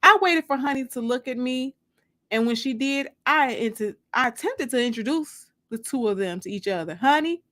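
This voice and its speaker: A woman narrates fiction in a dramatic reading style, as an author reading her own book. Her voice sits a little high. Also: animated, normal speed, sharp tone.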